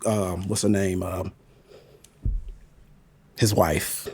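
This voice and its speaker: A man's voice: sits low, flat delivery, drawn-out words, a little mellow, slight background noise. A man with an American accent, co-hosting a podcast in casual conversation. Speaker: podcast co-host. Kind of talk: casual chat about music.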